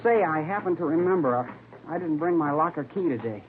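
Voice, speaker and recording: An actor playing a nervous, evasive American high school boy in a 1950s radio crime drama, whining and pleading. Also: animated, fairly quick, lightly acted. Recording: tinny, noisy vintage radio recording.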